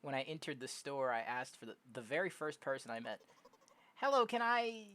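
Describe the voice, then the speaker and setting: A young woman casually commenting on a game and reading its text aloud in a slangy, expressive way. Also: very deep voice, quick pace. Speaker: streamer playing a game. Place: own room at home.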